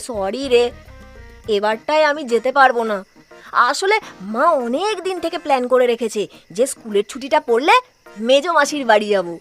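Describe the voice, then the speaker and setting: A small, playful cartoon character talks in a very high voice. Some music plays underneath. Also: female voice, expressive, normal speed, a bit bright. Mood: apologetic. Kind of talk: cartoon voice acting.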